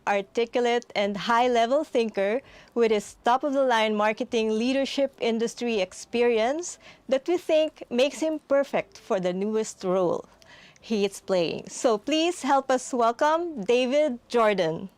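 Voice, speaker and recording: A woman's voice: fairly high pitch, a little mellow, dry recording. A woman hosting an interview, polite and conversational after a scripted opening. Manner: polite, scripted intro then conversational questions. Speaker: host.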